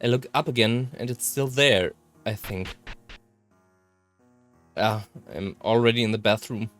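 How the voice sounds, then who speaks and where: A man reading a visual novel aloud in a halting, slightly theatrical way. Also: on the low side, a bit bright, faint music behind. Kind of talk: expressive read-aloud narration of a visual novel.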